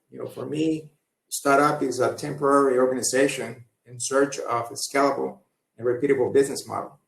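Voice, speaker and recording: A man's voice: mid-range voice, creaky, fairly bright tone. Male panel moderator, hesitant delivery, restarts often; distant mic, roomy echo. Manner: halting, many fillers and restarts. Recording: echoey room, far from mic.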